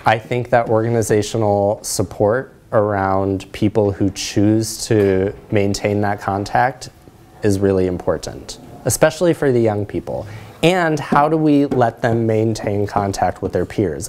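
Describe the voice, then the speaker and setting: A man's voice: on the low side, darkish tone, slight background noise. Reflective man presenting in a lecture hall. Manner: reflective, asks check-in questions. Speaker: presenter, social work researcher. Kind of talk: conference talk with audience discussion.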